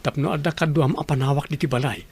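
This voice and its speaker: A man's voice: fairly high pitch, quick pace, a little mellow, slightly rough. A man acting an obliging, responsible middle-aged father in a radio drama, talking matter-of-factly.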